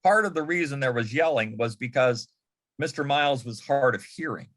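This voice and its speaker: A man telling anecdotes as a guest on a panel, in a halting way, often repeating words and restarting his sentences. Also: medium pitch, a bit bright. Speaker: panel guest, former personal assistant to an entertainer. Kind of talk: anecdotal storytelling in a panel interview.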